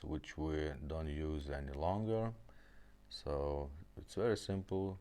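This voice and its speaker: A man who speaks English as a second language, giving a casual, halting step-by-step product demonstration. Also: deep voice, mellow. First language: not English. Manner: halting, plain step-by-step explaining. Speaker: presenter demonstrating restored car radios.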